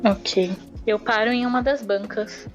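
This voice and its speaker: A woman's voice: a little high, fairly even intonation, unhurried. A woman playing a tabletop roleplaying game, casually stating actions and asking questions in character. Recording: slightly muffled, band-limited audio with music. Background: background music.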